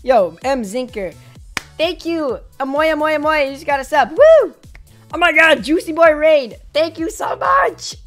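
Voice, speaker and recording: A young woman in her twenties streaming live from a small bedroom, hoarse from yelling, bubbly and effusive as she thanks viewers over a headset mic. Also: sits high, soft background music. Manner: bubbly, effusive, quick swings to excited squeals.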